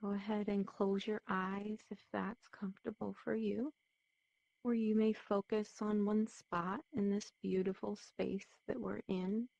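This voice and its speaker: A woman leading a guided meditation in a soothing, gentle, unhurried manner, with a muffled, dull sound to the recording. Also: mid-range voice, little pitch movement, vocal fry, no echo. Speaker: meditation teacher.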